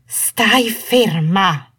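A woman's voice: mid-range voice, lively intonation, very slow, fairly bright tone, slightly rough, voice pushed up, full character voice. A woman narrator voicing a bitter young wife in an audiobook story, menacing and manic with jealousy, snarling and trembling with cold fury. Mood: menacing, cruel. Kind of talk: dramatised audiobook dialogue.

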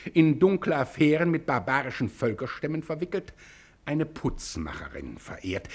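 An actor playing a pompous, accusing courtroom advocate in a 1950s German radio play, with rhetorical, drawn-out emphasis. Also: male voice, fairly high pitch, heavily acted. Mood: indignant.

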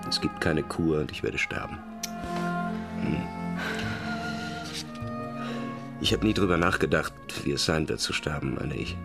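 An actor playing a cynical, sardonic rock musician at a boat's helm in a German radio drama. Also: male voice, rumbling low, moderate pace, creaky. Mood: resigned. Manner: sardonic, dismissive. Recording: music behind the voice.

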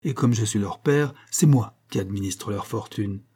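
A man's voice: fairly low pitch, moderate pace, warm tone, breathy edge. A man voicing a cruel, mocking husband in a dramatic audiobook, sneering and coldly domineering. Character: cruel, mocking estranged husband, menacing and smug.

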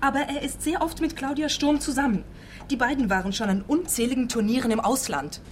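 A woman's voice: high-pitched, fairly quick, a little hiss or hum, close to an everyday voice. A woman playing a suspicious client of about thirty in a radio play, guarded and matter-of-fact. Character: veiled, suspicious female client, around thirty.

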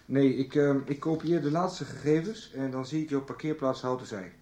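An actor playing a busy, smoothly hospitable man in a naturalistic radio soap. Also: medium pitch, brisk, mellow, close to an everyday voice. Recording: echoey room, faint music.

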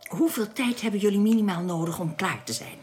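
A woman's voice: medium pitch, brisk, darkish tone, a little hiss or hum, lightly acted. A woman playing a resolute alien in a science-fiction radio drama, explaining things decisively. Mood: composed, decisive. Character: calm, resolute alien woman helping spacemen escape.

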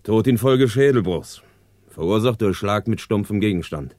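A man's voice: lowish voice, expressive, normal speed, darkish tone, no room sound, studio quality, natural voice. A man acting a matter-of-fact police inspector giving precise, clipped reports in a 1960s German radio play. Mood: factual. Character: businesslike male police inspector reporting to his chief. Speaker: actor in a radio crime drama.

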